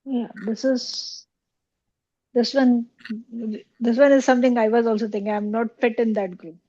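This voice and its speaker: Soft, mellow woman, halting, dull audio. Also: on the high side, level tone. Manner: hesitant, halting, self-correcting. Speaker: class participant. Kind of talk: personal sharing in a class.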